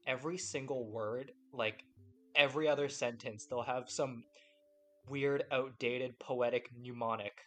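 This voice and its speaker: A man's voice: mid-range voice, steady tone, unhurried, sharp tone. A man hosting a podcast in a casual chat, rambling and playful with lots of restarts. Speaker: podcast host.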